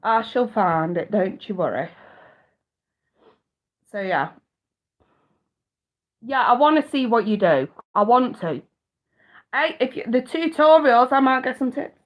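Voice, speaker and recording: A woman's voice: a little high, very animated, measured pace, pauses often. A middle-aged woman with a blocked nose chatting casually with viewers on a live stream, swearing now and then.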